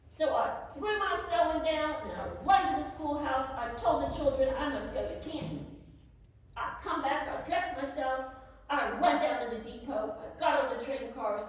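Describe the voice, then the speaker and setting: Casting: actress as determined, hard-pressed 1860s farm wife, high voice, rustic storytelling in a dramatic letter reading, very echoey room. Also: female voice, a little slow. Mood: anxious, urgent. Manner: plain-spoken, rustic storytelling with old country grammar. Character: determined, struggling Civil War farm wife writing to husband. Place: live presentation in an echoey room. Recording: echoey room, distant microphone.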